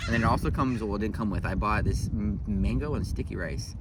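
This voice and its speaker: A man's voice: on the low side, fairly quick, over a noisy crowd. A man chatting casually as a vlogger and parent. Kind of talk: casual vlog chat.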